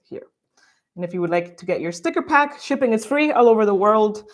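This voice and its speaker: A woman's voice: mid-range voice, expressive, brisk. A woman from Guatemala co-hosting a livestream, reading out viewer questions in a bubbly, laugh-filled way. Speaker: co-host.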